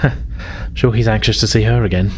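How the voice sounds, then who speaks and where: A man voicing a polite, wistful woman officer who survived a crash, speaking haltingly in a tabletop roleplay. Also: on the low side, steady tone, a little hiss or hum, natural voice. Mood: wistful, hopeful. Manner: hesitant, halting.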